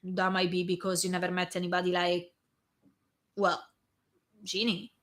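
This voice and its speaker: A hoarse woman reading the lines of a young man who speaks haltingly and searches for words. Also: fairly low pitch, little pitch movement, fairly bright tone, close to an everyday voice. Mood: gentle.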